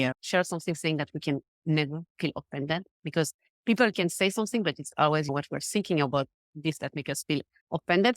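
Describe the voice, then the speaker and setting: A young woman from Switzerland, not a native English speaker, chatting as a confidence coach guest in a casual podcast interview, animated with many fillers. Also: fairly low pitch, no echo.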